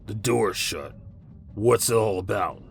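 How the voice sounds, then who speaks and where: A man voicing a cool, resolute bearded gunman in an audiobook, calm, confident and deliberate. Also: on the low side, vocal fry, faint music behind. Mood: calm reassurance. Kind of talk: dramatised audiobook character voice.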